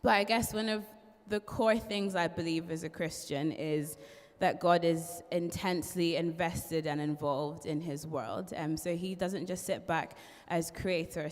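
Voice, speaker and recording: A woman's voice: low voice, steady tone, measured pace. Chatty young woman with a mixed Irish twang. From born in London, raised in Ireland. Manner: chatty, laughs often, many ums. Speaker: guest, trainee barrister. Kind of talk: casual interview chat. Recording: long hall reverb.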